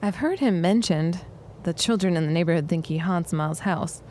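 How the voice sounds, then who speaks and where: A wry, practical woman from a period audio drama, played by an actress. Her voice is a little low, with a dry, plain-spoken delivery. Light ambient noise sits behind her. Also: moderate pace, a little mellow, lightly acted.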